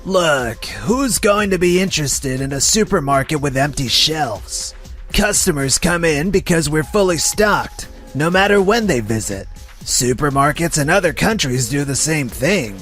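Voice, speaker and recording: A man's voice: a little high, lively intonation, a little slow, fairly bright tone, soft background music. A man voicing a smug, defensive supermarket executive in a comic dub, with a dismissive manner. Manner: dismissive, self-justifying. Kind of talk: dubbed comic voice acting.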